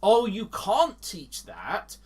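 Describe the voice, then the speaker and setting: An earnest man with an English accent, a longtime Bible teacher, talking with halting restarts. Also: high voice, wildly swooping pitch, a little mellow, breathy edge. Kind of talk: casual Bible teaching chat.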